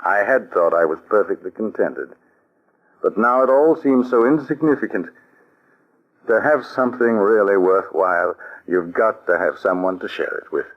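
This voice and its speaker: An actor playing an earnest, emotional army colonel in a 1940s radio drama. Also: male voice, lowish voice, lively intonation, moderate pace. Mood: tender. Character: smitten, anxious male army colonel. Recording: dull old radio broadcast.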